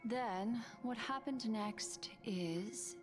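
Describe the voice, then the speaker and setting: An actress voicing a poised, knowing, ominous woman in a video game, theatrical and measured. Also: fairly high pitch, music in the background. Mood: ominous, narrating. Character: poised, ominous woman who reads lingering memories. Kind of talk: scripted video game acting.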